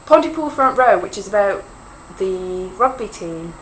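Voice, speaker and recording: A woman from Wales talks to camera in a loose, wandering monologue, poking fun at herself with jokey asides. Her pitch sits mid-range for a woman, and a faint hiss of background noise runs under her voice. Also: lively intonation, measured pace. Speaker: vlogger. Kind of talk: rambling casual monologue.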